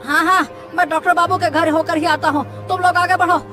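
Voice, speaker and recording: An actor plays an excitable village fish seller man in a cartoon story. His voice is strikingly high and friendly. Music plays clearly underneath. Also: fairly quick. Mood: alarmed. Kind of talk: Hindi cartoon story dialogue.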